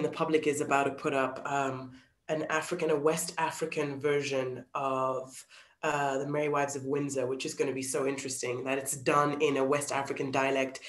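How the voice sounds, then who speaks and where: A woman in her thirties from Zambia, an actor giving thoughtful interview answers over a video call. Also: deep voice. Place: small plain-walled room indoors. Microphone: computer mic on video call. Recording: roomy, echoey video call.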